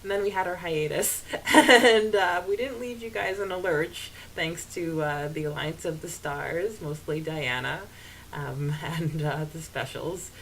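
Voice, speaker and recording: A woman co-hosting a podcast in casual, halting, rambling conversation full of fillers. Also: deep voice, a bit bright, slight background noise, low-quality recording. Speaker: podcast co-host.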